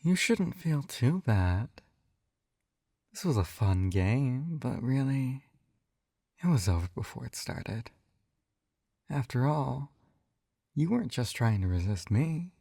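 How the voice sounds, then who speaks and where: A man's voice: mid-range voice, very animated, slow, gravelly, long pauses, mellow, no room sound, studio quality, full character voice. A man playing a possessive, doting hypnotist captor in an intimate ASMR roleplay, coaxing and soothing, often slipping into a whisper. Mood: gently possessive. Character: possessive, doting male yandere hypnotist captor, gently controlling.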